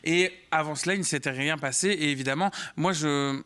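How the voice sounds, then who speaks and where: Man, talk show columnist, careful, hedging, slightly high voice. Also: brisk, fairly bright tone, clean tone. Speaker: panel columnist. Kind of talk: studio panel discussion.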